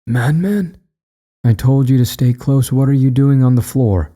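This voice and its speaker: A man's voice: mid-range voice, measured pace, dark tone, voice pushed up, natural voice. A man voicing a stern, brusque male guide who gives curt, critical orders in an audio storybook. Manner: curt, commanding.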